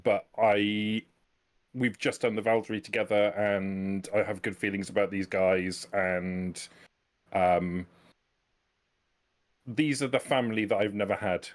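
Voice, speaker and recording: Male tabletop player, fairly deep voice, casual and tentative, reasons through his choices aloud. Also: fairly even intonation, measured pace, pauses often. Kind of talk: casual game-table chat.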